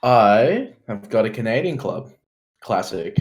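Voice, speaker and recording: A man's voice: on the low side, very animated, unhurried, a little mellow. Blokey man, slightly noisy mic. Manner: blokey, sweary. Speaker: podcast guest. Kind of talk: casual banter.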